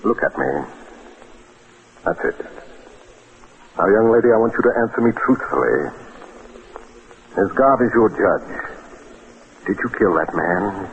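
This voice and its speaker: An actor playing a shrewd, self-assured defense attorney in an old-time radio detective drama, speaking with wry, commanding polish. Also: male voice, fairly low pitch, lively intonation, measured pace, pauses often. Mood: stern, commanding. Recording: muffled, noisy old radio recording.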